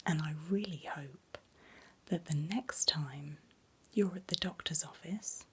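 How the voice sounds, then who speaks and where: A middle-aged woman whispering breathily in a gentle, reassuring ASMR medical exam roleplay, playing a doctor giving close personal attention. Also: low-pitched, takes it slowly, slightly rough.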